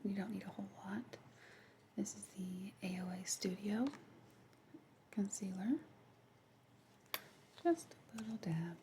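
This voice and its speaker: A woman whispering softly and unhurriedly in an ASMR roleplay, gently instructing the listener as a makeup artist. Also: medium pitch, steady tone, measured pace, pauses often, background noise. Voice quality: breathy whisper.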